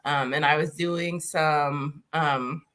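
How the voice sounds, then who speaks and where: Bright-voiced chatty woman guest. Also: low voice, steady tone, takes it slowly. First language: English. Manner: chatty, laughs often between phrases. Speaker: guest, former conference attendee. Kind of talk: casual conversational interview. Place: at home with a small child nearby.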